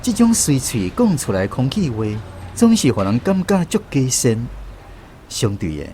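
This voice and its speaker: A man's voice: medium pitch, huge pitch swings, normal speed, mellow, slight background noise. A man with a Taiwanese accent narrating a scripted first-person story for radio. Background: instrumental music.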